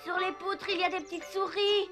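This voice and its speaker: A woman's voice: very high voice, steady tone, a little fast. A woman voicing a mischievous, easily distracted little wooden puppet boy in a French cartoon dub, cheeky and hesitant. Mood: stalling, distracted. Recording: music behind the voice.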